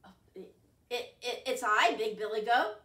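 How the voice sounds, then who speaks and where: A woman's voice: high-pitched, lively intonation, moderate pace, fairly bright tone, voice pushed up. A woman doing a stammering, pleading billy goat. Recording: roomy echo, distant mic.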